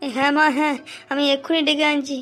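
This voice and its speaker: A woman's voice: high voice, flat delivery, fast, a bit bright. A woman voicing an anxious middle-aged village mother-in-law in a cartoon melodrama, fretful and repeating herself. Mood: alarmed. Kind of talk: amateur cartoon melodrama acting.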